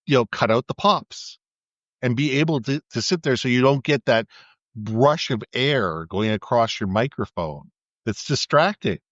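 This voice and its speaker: Booming man chatting on a podcast. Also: lively intonation, unhurried, a bit bright, no room sound, highs cut off. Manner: booming, explains at length with repeated restarts. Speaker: podcast co-host. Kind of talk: casual chat. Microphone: USB condenser mic with windscreen, held back from the mouth.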